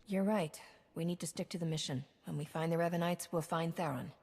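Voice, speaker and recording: Determined hero, low mellow husky voice, direct. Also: female voice, a little fast. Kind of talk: video game voice acting.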